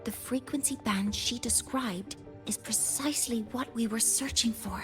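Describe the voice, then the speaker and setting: A fairly high female voice from an actress plays a composed game researcher. She delivers her briefing in formal, exact phrases over background music. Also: normal speed, close to an everyday voice. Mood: serious, formal. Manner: formal, clinical, articulate. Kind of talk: animated game character dialogue.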